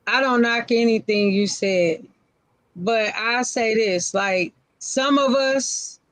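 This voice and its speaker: A woman's voice: fairly high pitch, slow, no echo. A woman in her forties speaking frankly on a casual live-stream panel, in short, repeated phrases. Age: 40s.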